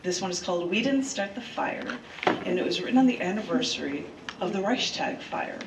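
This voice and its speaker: A woman's voice: fairly low pitch, lively intonation, a little fast. Woman reading poetry in a roomy, noisy space. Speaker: poet and open mic host. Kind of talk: live poetry reading with spoken asides. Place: large bookstore, indoors, live event. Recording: roomy live room, distant mic, clear noise.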